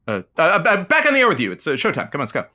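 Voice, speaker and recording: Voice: medium pitch, wildly swooping pitch, very fast, pushed and bright. A performer playing a nervous, placating radio show sidekick, hesitant and stammering. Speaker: co-host. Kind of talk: improvised comedic radio drama banter.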